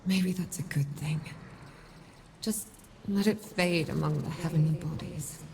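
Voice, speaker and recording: A woman playing a gentle, devoted partner in a video game drama, speaking softly, intimately and with affection. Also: low voice, a little slow, noisy background. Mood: resigned, wistful.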